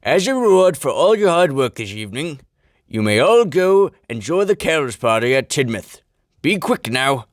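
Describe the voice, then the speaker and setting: Man voicing a booming, pompous railway boss. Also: very animated, moderate pace, no room sound, studio quality. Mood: proud, hearty. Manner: booming, clipped orders, formal address. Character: stern, pompous male railway boss, proud and commanding. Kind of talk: children's storybook character voice.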